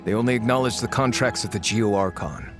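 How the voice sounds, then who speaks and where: An actor playing a wary, sardonic faction agent in a video game, formal and slightly scornful. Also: male voice, on the low side, fairly quick, close to an everyday voice. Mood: wary, urgent. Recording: music behind the voice. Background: game music.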